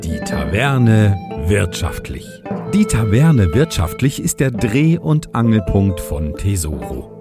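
A man's voice: fairly low pitch, very animated, slow, full character voice. A man playing a wry pirate narrator, reading scripted, tongue-in-cheek blurbs for an audio roleplaying game. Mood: wry, theatrical. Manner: theatrical, wry. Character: growling pirate narrator advertising a tavern, wry. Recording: guitar music under the voice.

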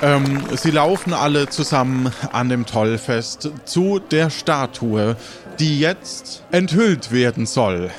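A man narrating an improvised adventure game as game master, halting and searching for his words. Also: mid-range voice, expressive.

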